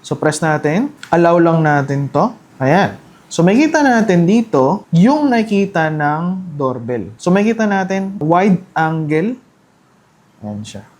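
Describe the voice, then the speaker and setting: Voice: medium pitch, darkish tone. Filipino presenter giving a casual product walkthrough. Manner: conversational, instructional. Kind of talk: casual step-by-step product demo in Taglish.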